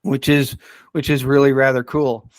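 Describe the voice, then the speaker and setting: A man hosting a casual podcast, talking enthusiastically but haltingly as he searches for names and details. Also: mid-range voice, flat delivery, no room sound. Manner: enthusiastic about the subject. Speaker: podcast host.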